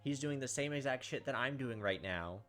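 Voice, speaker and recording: A man's voice: medium pitch, lively intonation, brisk, fairly bright tone, soft background music. A young man doing casual game commentary and reading story narration aloud. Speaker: gaming video host.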